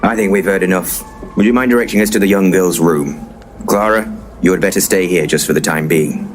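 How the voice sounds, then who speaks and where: Man playing a very deep-voiced, pompous ghost hunter. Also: brisk, a little hiss or hum, close to an everyday voice. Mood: officious. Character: pompous, eccentric male paranormal investigator, brazenly unbothered. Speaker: actor in an audio comedy drama. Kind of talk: audio play dialogue, dry comedy.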